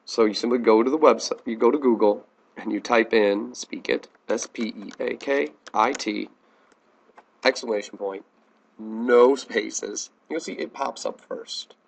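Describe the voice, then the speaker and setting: American male teacher, mid-pitched voice, plain instructional screencast narration, step by step. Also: measured pace, gravelly. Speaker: teacher giving a software tutorial.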